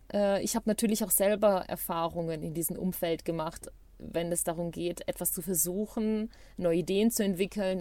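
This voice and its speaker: A woman's voice: lowish voice, warm tone, no echo. A woman co-hosting a podcast, speaking German that is not her first language, enthusiastic and reflective in casual chat. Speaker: podcast co-host.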